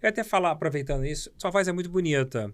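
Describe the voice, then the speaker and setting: A man's voice: fairly high pitch, expressive, racing. A man with a Brazilian accent hosting a casual conversational interview, effusive and often interjecting. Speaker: podcast host.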